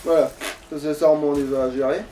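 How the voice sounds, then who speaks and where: A man's voice: a little high, fairly even intonation, fast talker, dark tone, recorded from a distance, faint music behind. A man giving casual, slangy gaming commentary as he plays, grumbling and swearing freely. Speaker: let's play streamer playing the game.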